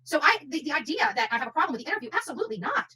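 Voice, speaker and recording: A woman's voice: sits high, big pitch swings, very fast, bright, forceful tone. A woman doing unscripted livestream commentary, speaking emphatically and repeating phrases for stress.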